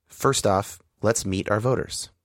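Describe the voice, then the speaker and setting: A man's voice: fairly low pitch, no echo, clean studio sound. A man hosting a podcast, talking earnestly and carefully as he introduces the episode and interviews a guest. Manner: earnest, measured, explanatory. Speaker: podcast host.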